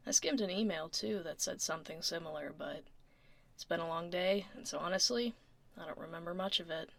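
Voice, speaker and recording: Female dragon office lady, played by an actress, ASMR roleplay. Lowish voice, heavy fry, casual dry delivery, blunt yet caring. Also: brisk. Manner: casual, dry, low-key, softly spoken.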